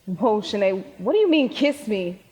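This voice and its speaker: A woman's voice: medium pitch, sing-song, moderate pace, dark tone, breathy edge. A young woman acting in a stage play as a troubled, sincere and guarded teenage girl. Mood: guarded, surprised. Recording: echoey hall stage recording.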